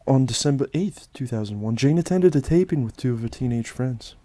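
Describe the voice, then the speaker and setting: Male narrator, mid-pitched and mellow, solemn dramatic read with satirical edge, dry recording. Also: lively intonation, brisk, no room sound. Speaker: host and narrator of a Halloween special.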